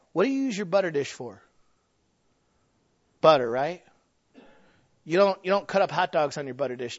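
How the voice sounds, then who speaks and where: A man preaching a sermon in a folksy, humorous way, with emphatic rises and drops. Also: fairly high pitch. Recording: slightly dull lo-fi sound.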